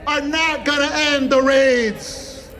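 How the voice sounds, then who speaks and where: A man giving an impassioned, declamatory protest speech with emphatic pauses. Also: extremely high pitch, steady tone, background noise. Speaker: protest speaker, activist. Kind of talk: rally speech. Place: outdoor street protest. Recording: loud rally PA with crowd and music. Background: crowd and music.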